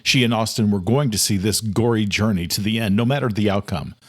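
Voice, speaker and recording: A man reading a scripted audiobook as narrator, telling the story in a dramatic, measured way. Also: fairly low pitch, dry recording.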